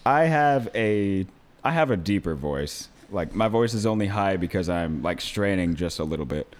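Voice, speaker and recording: A tipsy young man co-hosting a podcast, talking in a relaxed way with laughs and swearing. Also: sits low, moderate pace, slight background noise. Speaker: podcast co-host. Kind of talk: casual drunken chat.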